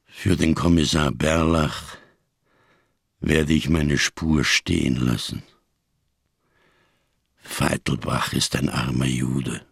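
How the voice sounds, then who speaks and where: Huge old solemn fugitive in a radio play, super low n slow, careful fussy wording, husky. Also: male voice, steady tone, no room sound, clean studio sound, full character voice. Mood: solemn, wry. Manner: ponderous, over-careful, elaborate diction. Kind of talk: German radio crime drama acting.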